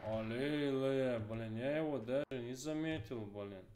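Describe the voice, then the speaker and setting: A man's voice: mid-range voice, unhurried, heavy background noise. A man in his twenties from Chechnya, streaming a game and muttering remarks to himself, swearing often. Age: 20s. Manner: quiet muttering, swears a lot. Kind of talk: casual gameplay commentary.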